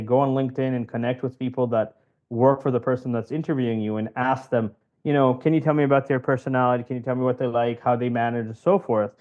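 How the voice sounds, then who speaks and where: A man's voice: mid-range voice, a little mellow, lo-fi sound. A man who grew up in West Africa, explaining advice conversationally as a podcast guest, with examples and repeated phrases for emphasis. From West Africa (Cameroon and Ivory Coast). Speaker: podcast guest, job interview coach.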